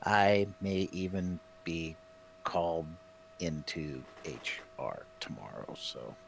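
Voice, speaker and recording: Man, 50s, host, animated banter, pokes fun at himself. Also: deep voice, slow, creaky, a little hiss or hum, low-quality recording. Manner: joking, self-mocking banter. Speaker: stream host. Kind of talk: casual chat while painting miniatures.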